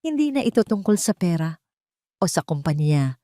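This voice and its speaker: A woman's voice: fairly low pitch, very animated, moderate pace, mellow, no room sound, clean studio sound. A woman with a Filipino accent voicing a determined, wronged billionaire man in an audio story. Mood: solemn resolve. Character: resolute wronged billionaire man set on justice.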